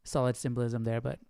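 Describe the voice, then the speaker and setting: A man's voice: medium pitch, creaky, darkish tone. A man hosting a livestream, informally thinking aloud as he comments on a Bible reading. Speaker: livestream host.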